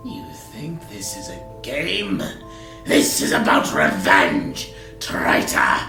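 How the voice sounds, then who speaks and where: A woman playing a taunting, vengeful demon possessing a young woman in an audio drama, with breathy whispers that break out into mocking, theatrical menace. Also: very deep voice, wildly swooping pitch, slow, sharp tone, echoey room, faint music behind, heavily acted.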